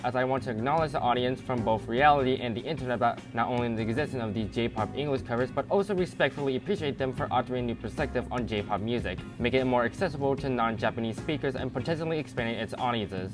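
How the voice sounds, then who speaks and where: A man's voice: medium pitch, fast talker, few pauses. A young man reading scripted commentary as the narrator of a video essay. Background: music.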